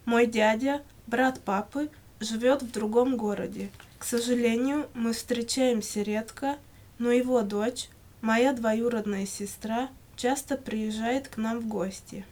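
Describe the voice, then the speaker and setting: A woman reading scripted answers for a language lesson in measured, complete sentences. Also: a little high, a little mellow. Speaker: language-lesson model speaker answering questions. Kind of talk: scripted language-lesson dialogue, read aloud.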